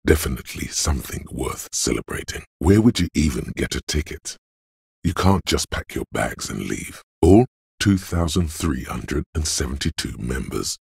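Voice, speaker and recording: Gravelly, extremely deep voice of logic. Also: male voice, very animated, moderate pace, darkish tone, no echo, clean studio sound, heavily acted. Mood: dry, reasoning. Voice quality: gravelly, husky bass. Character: cool-headed, analytical inner voice of logic, male. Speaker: voice actor. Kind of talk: scripted game narration as an inner voice.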